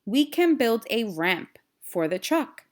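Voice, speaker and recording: A woman's voice: a little high, big pitch swings, takes it slowly. A woman voicing an eager young schoolgirl in a storybook, with simple, enthusiastic phrasing. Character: eager young schoolgirl who loves science.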